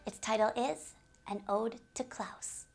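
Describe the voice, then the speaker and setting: A woman hosting a game community video series, speaking to viewers in an animated, giggly, presenter's way. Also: fairly high pitch, measured pace, creaky, faint music behind. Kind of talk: upbeat scripted video presenting with ad-libbed asides.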